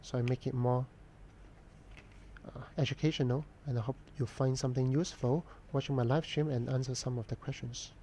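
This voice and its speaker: A man, chatting casually to viewers while he draws on a live stream, answering questions in an unhurried, thoughtful way with frequent pauses. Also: mid-range voice, steady tone, dark tone, slight background noise. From Hong Kong. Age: 40s. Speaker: artist live-streaming a drawing session.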